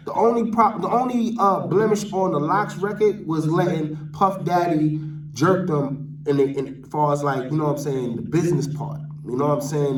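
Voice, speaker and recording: Sounding roomy and a little far from the mic, this man speaks in a New York accent with a slightly high voice. He vlogs in an unscripted rant, leaning on street slang and hammering points by repeating them. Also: darkish tone. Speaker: vlogger. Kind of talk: off-the-cuff opinion rant. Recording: roomy echo, distant from mic.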